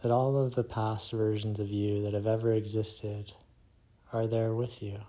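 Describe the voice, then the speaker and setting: A man leading a guided meditation in a soothing, hushed manner, leaving long pauses between phrases. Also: fairly low pitch, flat delivery.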